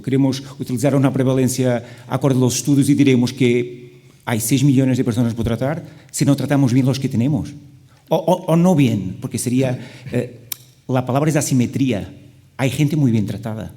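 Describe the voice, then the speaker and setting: A Portuguese man speaking Spanish with a Portuguese accent as a panelist, halting with many restarts. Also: medium pitch, dark tone. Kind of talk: panel discussion.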